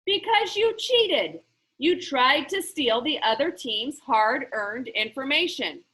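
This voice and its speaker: A woman's voice: expressive, bright, forceful tone, exaggerated voice, rough recording. A woman voicing an eccentric, grandly stern man who presides like a judge in a children's storybook. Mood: judging, solemn. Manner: booming, theatrical, deliberate. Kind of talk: children's book read-aloud.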